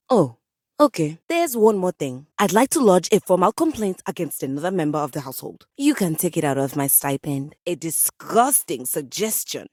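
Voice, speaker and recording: A woman's voice: low voice, darkish tone, dry recording, studio quality. A woman playing a proud, fussy living piano in a video game, speaking as a theatrical lady composer with formal, haughty diction. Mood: fluttery, shy, then indignant, haughty. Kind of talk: video game character dialogue.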